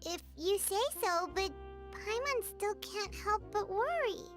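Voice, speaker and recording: A woman voicing a small, earnest, childlike fairy companion with a squeaky voice in a video game. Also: animated, slow, heavily acted. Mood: worried. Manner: refers to self by name, earnest. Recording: loud music behind the voice.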